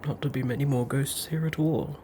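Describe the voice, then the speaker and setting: A woman's voice: rumbling low, fairly even intonation, a little fast, warm tone, slight background noise. A woman with an Australian accent playing a fussy, polite ghost in a whispered ASMR roleplay, with quaint, hesitant wording. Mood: hesitant, pleading. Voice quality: breathy whisper. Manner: quaint old-fashioned wording, hesitant, apologetic. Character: fussy, polite female ghost haunting a hospital bed. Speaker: ASMR performer.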